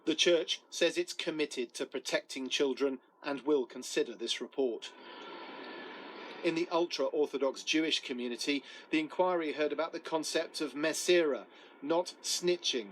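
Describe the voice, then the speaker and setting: A man's voice: a little high, moderate pace, a little hiss or hum, rough recording. A man narrating a scripted television news report as a correspondent.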